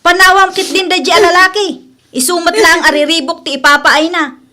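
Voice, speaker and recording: A woman's voice: extremely high pitch, steady tone, fairly quick, pushed and bright. A woman playing a blunt, exasperated young Filipina friend with an Ilocano accent in an audio drama, nagging and outspoken. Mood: exasperated scolding. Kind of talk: radio-style drama acting.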